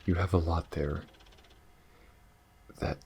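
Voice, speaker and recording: Man acting a low, gravelly, gentle alien lover. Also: steady tone, a little hiss or hum. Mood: tender, reassuring. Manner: soft, hesitant, breathy pauses and sighs. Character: gentle, wistful alien man, devoted lover far from home. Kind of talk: ASMR audio roleplay, intimate monologue to the listener.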